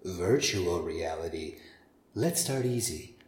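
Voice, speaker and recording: A man's voice: low voice, expressive, slow, a little mellow. A man playing an obliging, weary fantasy machine operator in a comedy radio play, speaking in a patient, matter-of-fact customer-service way. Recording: roomy echo.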